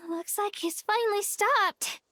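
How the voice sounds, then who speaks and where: A woman voicing a tiny, excitable, childlike fairy companion in a video game, squeaky and full of questions and exclamations. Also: a little slow, dry recording, full character voice. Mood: curious, excited. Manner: exclamatory, inquisitive. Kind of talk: video game character acting.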